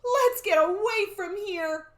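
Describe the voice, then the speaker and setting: Storybook boy done by a woman: reluctant, skeptical, exasperated, raised lively voice. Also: high-pitched, takes it slowly, echoey room, pitched above the performer's own voice, heavily acted. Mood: alarmed.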